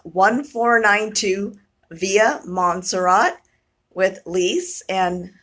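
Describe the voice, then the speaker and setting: A woman hosting a local vlog, interviewing in an art gallery and reacting warmly, recorded on a phone camera. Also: mid-range voice, extremely slow. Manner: warm, encouraging, short reactions. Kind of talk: casual interview.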